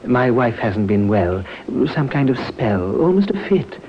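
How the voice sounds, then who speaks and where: A smooth, scheming young husband in a vintage radio play. His medium-pitched voice swings expressively with theatrical concern. The old recording is dull, with faint noise. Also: very animated, a little slow. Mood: attentive, casual. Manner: solicitous, theatrical. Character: smooth-talking bridegroom, a scheming killer feigning devotion. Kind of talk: old-time radio drama acting.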